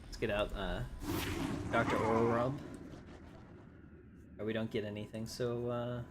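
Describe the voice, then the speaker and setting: Low-voiced male game streamer over noticeable noise. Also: level tone, unhurried. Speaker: livestreamer, gamer. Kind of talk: casual game commentary.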